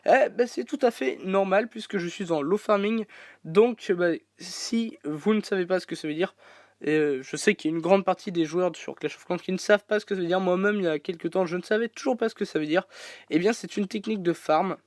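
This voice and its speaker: A man's voice: high-pitched, fairly quick, darkish tone, no echo, clean studio sound. A French man with a head cold, rambling through casual gameplay commentary with many fillers and restarts. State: congested with a cold. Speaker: gaming YouTuber.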